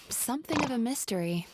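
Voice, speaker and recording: A woman playing a composed, gentle therapist in a video game, polite, measured and a little wry. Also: on the high side, animated, background noise, natural voice. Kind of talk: English video game voice acting.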